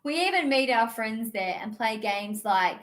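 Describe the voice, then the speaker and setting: A woman's voice: a little high, animated, measured pace, a bit bright. A woman presenting a children's storytime, reading a picture book in a playful, theatrical, sing-song way. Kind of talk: animated picture-book reading and action songs for young children.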